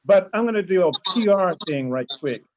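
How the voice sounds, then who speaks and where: A woman's voice: on the low side, expressive, brisk, a little mellow, slight background noise. A woman informally moderating a group video call. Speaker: event moderator. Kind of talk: informal hosting. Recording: dull video-call audio.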